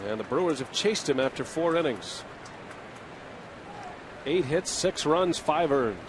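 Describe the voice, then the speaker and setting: Play-by-play man for a ball game, measured delivery, slightly high voice, noisy broadcast feed. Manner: steady, measured game calling. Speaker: play-by-play announcer. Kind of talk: baseball play-by-play commentary.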